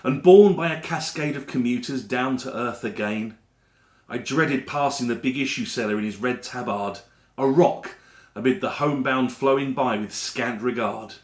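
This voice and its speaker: A man performing a rhyming dramatic monologue in a theatrical, deliberate storytelling manner. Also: medium pitch. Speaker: actor reading a monologue. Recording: roomy echo around the voice.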